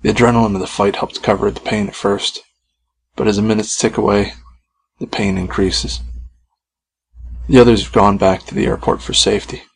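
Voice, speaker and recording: Low-pitched man narrating horror fiction. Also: flat delivery, normal speed, vocal fry, long pauses, husky, rough recording. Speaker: author reading own short story. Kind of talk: scripted first-person horror narration.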